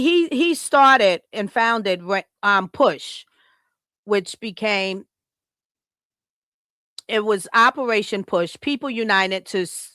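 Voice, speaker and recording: A woman's voice: medium pitch, fairly bright tone, no room sound. An American woman hosting a podcast, giving a casual tribute that is partly read aloud. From Chicago, United States. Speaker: podcast host.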